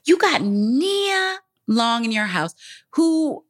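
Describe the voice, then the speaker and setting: A woman's voice: fairly high pitch, sing-song, takes it slowly. A woman co-hosting a podcast, chatting casually in an emphatic, slangy way. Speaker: podcast co-host and comedy writer.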